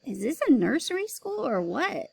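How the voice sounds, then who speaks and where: A woman's voice: high-pitched, sing-song, moderate pace, gravelly, a little mellow, breathy edge, voice pushed up, heavily acted. A woman voicing a curious, indignant young boy.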